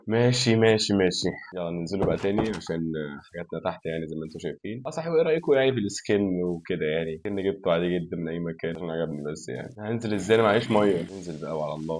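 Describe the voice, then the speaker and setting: Egyptian man, gaming host, rapid-fire, lowish voice, sore throat, background noise. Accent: Egyptian Arabic. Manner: chatty, addresses viewers, excitable and exasperated. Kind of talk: let's-play gaming commentary.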